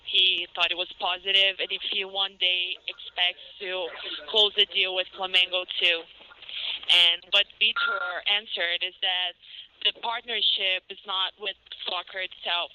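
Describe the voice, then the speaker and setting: A man with a very bright, clear voice interprets over a slightly dull phone line. He relays answers haltingly, in pieces, as an interpreter on a press call. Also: sits high, little pitch movement. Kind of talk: hesitant spoken interpretation. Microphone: telephone.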